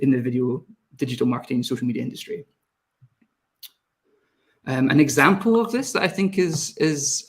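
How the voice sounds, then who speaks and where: Scottish man, young adult, fast talker, mid-pitched voice, live webinar presenter. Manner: talks quickly, speaks to viewers in the chat. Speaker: video team lead presenting a webinar.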